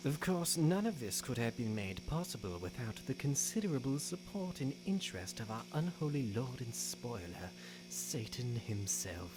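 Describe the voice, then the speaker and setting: A woman voicing a seductive, taunting devil in an audio drama, with a theatrical, commanding and drawn-out delivery. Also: extremely low pitch, big pitch swings. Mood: smug, formal. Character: seductive, taunting devil granting dark bargains. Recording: hum and noise, home recording.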